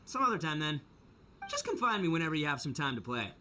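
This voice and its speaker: A man's voice: a little high, sing-song, fast, sharp tone, slight background noise, heavily acted. A man playing a brash, boastful young oni gang boss in a video game, with bombastic, slangy, theatrical delivery. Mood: eager.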